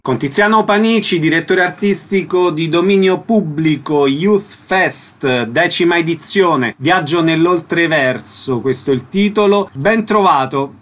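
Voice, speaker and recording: Higher-pitched male radio host. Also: measured pace, few pauses, fairly bright tone, soft background music. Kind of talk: radio presenting and interviewing.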